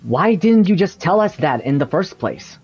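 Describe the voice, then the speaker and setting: A man reading video game dialogue aloud in a halting, deliberate, amateur way. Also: a little high, sing-song, a little mellow.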